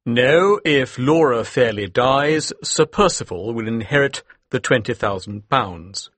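A man's voice: medium pitch, expressive, measured pace, fairly bright tone, no echo. A man voicing a firm, blunt lawyer in a dramatized audiobook.

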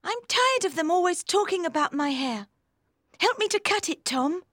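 Storybook child, played by a woman: small headstrong girl, sensitive and plaintive, high, very animated voice. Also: moderate pace, no echo, clean studio sound, exaggerated voice. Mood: defiant. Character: sensitive, defiant, impulsive little girl. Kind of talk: audiobook character voice.

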